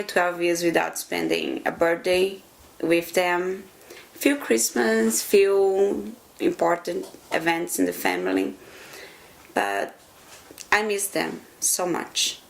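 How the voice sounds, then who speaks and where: A middle-aged woman from Brazil with a Brazilian Portuguese accent, Portuguese being her first language, giving reflective, earnest interview answers and pausing to search for words. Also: on the low side, vocal fry, poor audio.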